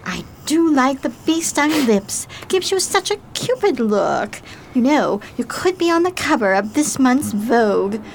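An actress playing a chic, effusive 1920s society hostess in a radio play, with an affected manner. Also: female voice, sits high, big pitch swings, unhurried, a little hiss or hum, exaggerated voice. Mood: gushing.

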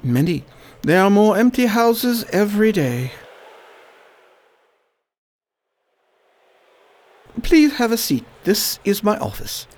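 A woman voicing a weary, Oxford-educated man fighting a plague, speaking low and wry in a radio drama. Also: very animated, normal speed, darkish tone. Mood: dry. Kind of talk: amateur radio drama acting.

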